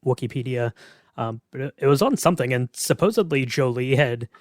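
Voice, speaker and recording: Male host, mid pitch, dry studio-close sound, casual hedging delivery. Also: expressive, brisk, no room sound. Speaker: podcast host. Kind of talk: casual chat about game lore.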